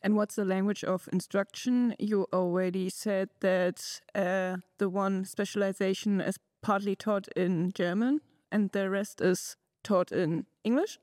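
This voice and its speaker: A woman hosting a podcast, reading a brisk intro and asking her guests clear questions. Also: medium pitch, steady tone, a little mellow, no echo, studio quality. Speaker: podcast host.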